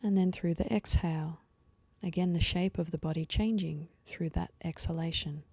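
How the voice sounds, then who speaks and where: A woman leading a guided meditation with slow, soothing instructions and pauses, her voice soft and at times breathy. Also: fairly low pitch, fairly even intonation, dry recording.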